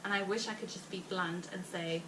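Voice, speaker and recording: A heavily pregnant woman with a South African Afrikaans accent, chatting casually to her viewers in a rambling way with many fillers and quick laughs. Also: on the low side, steady tone. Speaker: vlogger. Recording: roomy, distant mic with background noise.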